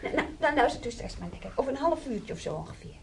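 An actress playing a cheerful, soothing young nurse in a radio drama, chatty and coaxing. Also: female voice, sits high, sing-song, rapid-fire, exaggerated voice. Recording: clearly noisy radio play recording.

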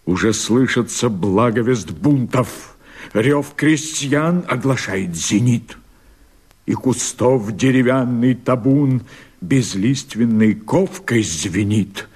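An actor playing a fiery rebel leader who proclaims himself emperor, declaiming verse in a radio play with husky, impassioned, theatrical oratory. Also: male voice, medium pitch, expressive, takes it slowly, a little mellow, full character voice. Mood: insistent.

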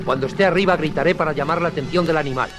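A high-voiced heroic space commander, played by a man, speaks with a Castilian accent and declamatory authority. It is old-fashioned 1950s radio serial acting, urgent and brave, with music behind. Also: racing, lightly acted. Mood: calm resolve. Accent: Castilian Spanish.

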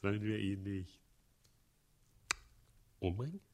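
A man's voice: on the low side, slow pace, darkish tone. A man acting a fawning, scheming and unhinged mayor in a radio play, with an erratic, theatrical manner. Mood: sly, wheedling.

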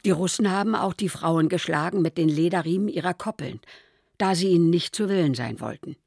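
A woman's voice: lowish voice, fairly quick, vocal fry, a little mellow, no echo. Worried old mother, diary reading, dry close sound. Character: elderly mother, 77, anxious diarist.

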